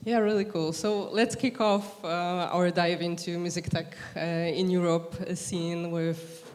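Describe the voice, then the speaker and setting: A woman's voice: on the low side, steady tone, measured pace, a little mellow. A woman moderating a conference panel, introducing herself and asking questions with many fillers. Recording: hall reverb.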